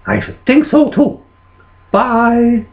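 A man voicing an excitable, indignant dragon puppet-show character in a children's story. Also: very high voice, slow pace, phone-quality sound, voice pushed up, heavily acted. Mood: smug.

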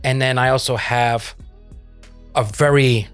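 A middle-aged man from France, native in French, talks bluntly and swears freely in a relaxed podcast chat. He sits at a desk mic in a small home studio, with soft music faintly under the talk. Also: medium pitch, a little slow. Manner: blunt, swears casually, laughs easily. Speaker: podcast co-host. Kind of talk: casual chat.

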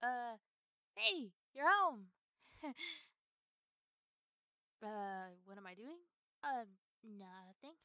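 A woman's voice: a little high, very animated, drawn-out words, pauses often, no room sound, dull audio, heavily acted. A woman playing a cute, playful, childishly possessive girlfriend in an ASMR roleplay, with a coy, stammering manner. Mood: sheepish, evasive.

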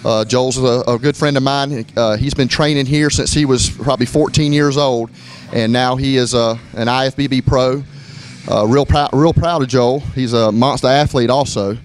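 Middle-aged man, gym owner, relaxed walkthrough with fillers and restarts, faint noise in the room. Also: mid-range voice, normal speed. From Florida. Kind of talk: walkthrough tour, casual narration. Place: gym indoors, moving through rooms.

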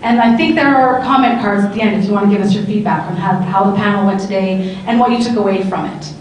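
A woman's voice: mid-range voice, quick pace, slight background noise. A woman in her thirties hosting a live panel discussion on a handheld mic, upbeat and talkative. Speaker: panel moderator. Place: large convention hall. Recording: echoey hall, camera from far off.

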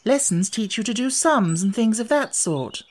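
Young girl, acted by a man: polite, questioning, earnest, raised pitch, storybook. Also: lively intonation, normal speed, darkish tone. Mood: puzzled.

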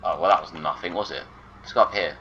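Low-voiced man muttering through a game. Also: fast, bright, forceful tone, dull audio, a little hiss or hum. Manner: exasperated muttering to himself, talks to objects. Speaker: gameplay commentator. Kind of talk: casual gameplay commentary.

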